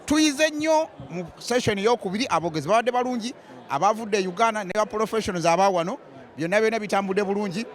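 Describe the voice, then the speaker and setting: A man's voice: sits high, expressive, quick pace. A man being interviewed outdoors at an event, talking in a boastful, playful way. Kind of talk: joking casual interview.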